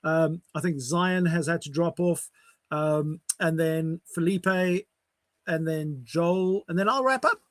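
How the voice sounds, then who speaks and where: A man's voice: a little high, takes it slowly, dry recording, studio quality. A man hosting a live video call chat, encouraging and thankful as he wraps up with his co-hosts. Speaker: host. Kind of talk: casual chat wrap-up on a live stream.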